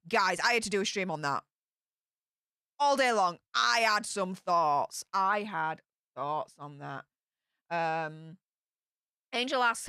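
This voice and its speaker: A woman with a broad Yorkshire accent hosts a casual live stream. She reads out viewer comments and chats back at them, and her voice is bright and smooth. The sound is close and dry, with no room around it. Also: medium pitch, no echo. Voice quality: broad Yorkshire slang and greetings. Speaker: livestream host reading chat.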